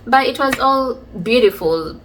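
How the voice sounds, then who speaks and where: A woman, casually telling stories from her childhood in a chatty, relaxed way. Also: on the high side, measured pace, soft background music. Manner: chatty, relaxed, many fillers like "like" and "you know". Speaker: vlogger. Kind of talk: casual storytelling about childhood.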